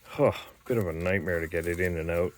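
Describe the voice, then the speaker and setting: A man's voice: low-pitched, a little hiss or hum. A man from Canada giving a rambling, casual show-and-tell about restoring an old tape machine, stopping often with fillers and restarts. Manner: rambling, halting, lots of fillers.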